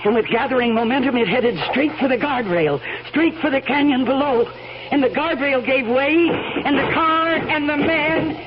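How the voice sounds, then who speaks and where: A woman's voice: mid-range voice, close to an everyday voice. An actress as a tense woman on muffled, noisy radio. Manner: dramatic, halting when flustered. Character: nervous, guilt-ridden woman confessing to a killing. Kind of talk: radio drama narration and dialogue. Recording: muffled old radio broadcast, loud noise.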